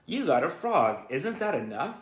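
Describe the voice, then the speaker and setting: A man's voice: fairly high pitch, very animated, moderate pace. A man voicing an indignant, protective young farm girl in a children's storybook, scolding and commanding, in an echoey room. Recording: roomy, echoey sound.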